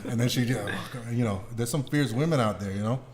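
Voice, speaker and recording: A man's voice: mid-range voice, quick pace, darkish tone, faint music behind. A man hosting a podcast in casual, informal chat, with slangy talk and some swearing. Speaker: podcast host.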